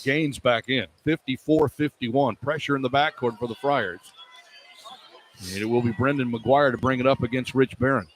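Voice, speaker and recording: A man calling live basketball on the radio in clipped running descriptions that rise into excited calls, as a play-by-play announcer. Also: medium pitch, normal speed. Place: indoor college basketball arena. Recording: clear arena noise behind the voice.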